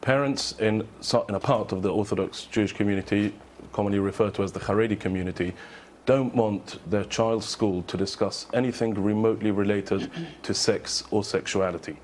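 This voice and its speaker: Male head teacher in debate, lowish voice, firm explainer, faint background noise. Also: steady tone, brisk, darkish tone. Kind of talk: studio debate argument.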